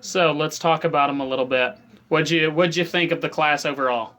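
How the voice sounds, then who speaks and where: A man's voice: a little high, steady tone, fairly quick, bright, forceful tone. Upbeat man hosting a sports show. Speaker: host.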